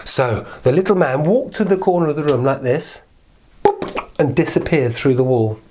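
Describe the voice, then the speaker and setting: A man's voice: fairly high pitch, moderate pace, a little mellow. A man telling a children's fairy tale in an informal, improvised, playful way, repeating words for emphasis. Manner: playful, conversational, with emphatic repetition. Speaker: children's storyteller.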